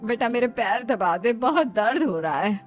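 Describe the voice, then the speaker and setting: Village mother-in-law played by a woman, middle-aged, bossy and harried, high raised calls, cartoon story with music behind. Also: animated, moderate pace, close to an everyday voice. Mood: bossy, complaining. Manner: loud commanding calls, exclamatory homely grumbling.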